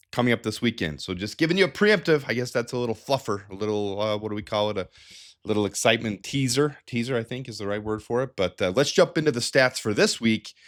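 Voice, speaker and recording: A man with a fairly low voice and a slight nasal stuffiness from a head cold breaks down team stats for his channel. He talks in an energetic, chatty way, doubling back on himself and throwing in asides as he goes. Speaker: sports channel host. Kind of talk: casual stats analysis.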